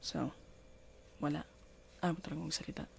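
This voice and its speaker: A woman's voice: low-pitched, steady tone, a little mellow, a little hiss or hum. A woman with a Filipino accent playing a jealous, domineering girlfriend in an intimate ASMR roleplay, questioning and commanding in mixed Tagalog and English. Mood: stern, threatening. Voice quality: husky, breathy. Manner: slow deliberate questioning, commanding, switching between English and Tagalog. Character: jealous, domineering girlfriend scolding her partner.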